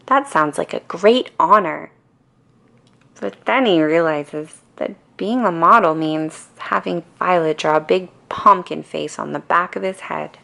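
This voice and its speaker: A woman reads a scripted bedtime story for children. Her voice sits on the low side with noticeable creak, and her storytelling is calm yet expressive. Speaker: storybook reader.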